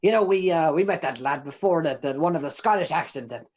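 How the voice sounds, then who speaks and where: Cheeky rambling prisoner informant, played by a man, stammering, folksy, slightly high. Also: expressive, quick pace, darkish tone. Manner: rambling, stammering, old-timey folksy phrasing. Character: imprisoned male informant Tad, chatty, cheeky, rambling.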